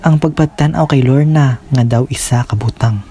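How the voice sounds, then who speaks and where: A man's voice: medium pitch, normal speed, dark tone, husky. A man with a Filipino accent reading scripted narration as the host of a radio drama. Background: faint music.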